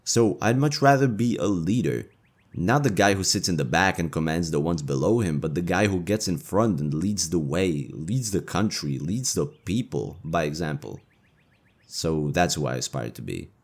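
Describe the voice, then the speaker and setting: A man's voice: low voice, lively intonation, normal speed. A man playing a young elven leader and playful, affectionate boyfriend in a romantic audio roleplay, talking in a casual, teasing way. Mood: earnest, idealistic.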